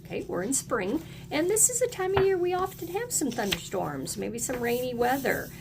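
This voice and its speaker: A woman's voice: high voice, very animated, moderate pace, vocal fry, background noise. A woman teacher leads a read-aloud lesson for young children, encouraging them and prompting them with questions and repeats.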